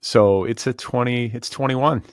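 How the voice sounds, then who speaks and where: A man's voice: medium pitch, unhurried, smooth, dry recording, clean studio sound. A man hosting a long-form interview podcast, conversational, musing his way tentatively into questions.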